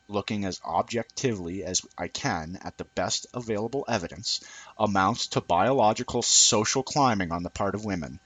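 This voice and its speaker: A man reading a scripted essay aloud in a deliberate, formal way with emphatic phrasing. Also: on the low side, fairly bright tone, breathy edge, dry recording, poor audio. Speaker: amateur reader of a written essay.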